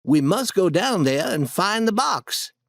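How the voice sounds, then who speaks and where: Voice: medium pitch, very animated, measured pace, dry recording, studio quality, pitched above the performer's own voice. A reader doing a timid, nervous boy. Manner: hesitant, nervous.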